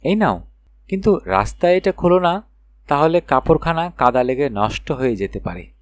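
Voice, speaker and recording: A man voicing a kindly, teasing cat queen from a fairy tale, reassuring and gently questioning. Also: on the high side, sing-song, moderate pace, dry recording, studio quality. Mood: gentle, instructing.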